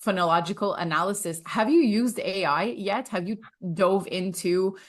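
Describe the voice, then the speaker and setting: A woman's voice: medium pitch, moderate pace. A woman from Quebec, hosting a casual, conversational interview with a fellow speech-language pathologist. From Quebec, Canada.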